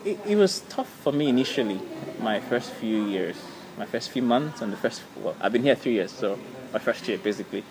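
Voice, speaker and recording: A man's voice: medium pitch, animated, a little fast, few pauses, a crowd in the background, rough recording. A young man from Nigeria, a university student, answering an interview with frequent repeats. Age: young adult. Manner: repeats words, says you know.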